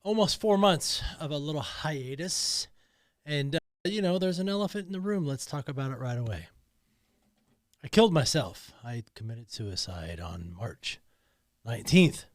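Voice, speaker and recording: A man's voice: fairly high pitch, expressive, moderate pace, no room sound. A man talking alone in a podcast studio, emotional and emphatic, often repeating himself. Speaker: podcast host. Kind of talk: personal monologue.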